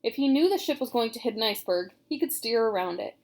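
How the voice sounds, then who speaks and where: A woman's voice: fairly high pitch, animated, fast talker, darkish tone. A woman reading as a blunt, bossy young boy. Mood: practical.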